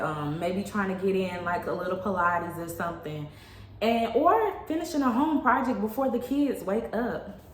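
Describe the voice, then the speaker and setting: A woman's voice: mid-range voice, a little hiss or hum. A woman in her thirties, a stay-at-home mom, chatting casually to camera about her days at home, addressing viewers as y'all. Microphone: phone camera. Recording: roomy home with faint ambience.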